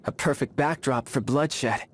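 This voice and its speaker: An actor voicing a cheerful, battle-hungry young warrior in a video game, with a breezy, theatrical manner that relishes danger. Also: male voice, mid-range voice, a little slow, slight background noise, lightly acted. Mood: solemn, relishing. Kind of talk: video game character voice lines.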